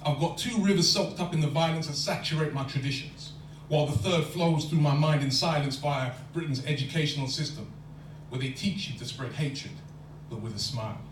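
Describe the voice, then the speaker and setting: A man's voice: a little high, brisk. A man performing spoken word poetry in a lecture hall, with a rhythmic, rhyming delivery. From London. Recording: echoey hall from far off, faint hum.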